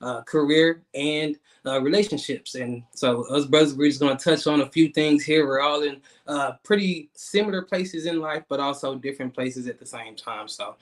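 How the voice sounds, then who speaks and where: A man, hosting an online talk show in a casual, halting way with many repeated words and fillers. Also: fairly high pitch. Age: 30s. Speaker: guest host.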